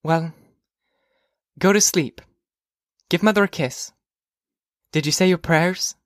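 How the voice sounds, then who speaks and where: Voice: medium pitch, slow, no room sound, studio quality, voice pushed up, natural voice. A narrator voicing a stern, weary mother who fusses and scolds in an audiobook. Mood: fussing. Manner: firm, scolding, concerned. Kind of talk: audiobook character dialogue.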